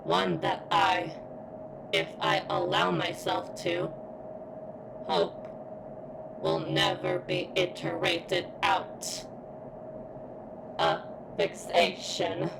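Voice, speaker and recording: A woman playing a sentient robot girl in an ASMR roleplay, speaking haltingly and deliberately as if in constant pain, earnest and devoted. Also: lowish voice, sing-song, gravelly, background noise, full character voice. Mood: pained, brooding.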